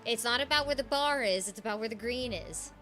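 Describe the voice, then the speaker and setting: A young adult woman, a game streamer, chatting playfully while she plays and deliberately pitching her naturally high voice lower. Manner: playful and teasing. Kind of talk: casual livestream chat while gaming. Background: game music.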